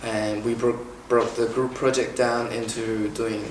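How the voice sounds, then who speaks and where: A man's voice: mid-range voice, little pitch movement. Man in his twenties, echoey distant mic. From China. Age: 20s. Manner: measured, with frequent "uh" pauses. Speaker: interviewer and former international student. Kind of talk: informal Q&A, explaining and asking questions. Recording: echoey room, mic at a distance, faint hiss.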